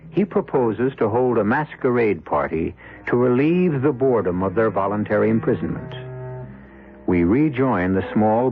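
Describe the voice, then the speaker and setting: A man hosting and narrating a radio mystery in a deliberate, suave, ominous way with dramatic pauses. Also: lowish voice. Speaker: host and narrator. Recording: muffled old radio broadcast over music.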